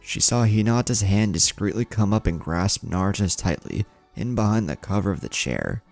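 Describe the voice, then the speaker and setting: A man's voice: lowish voice, expressive, moderate pace, creaky, a little mellow, faint music behind. A man reading scripted fan fiction as a narrator, in an audiobook-like style.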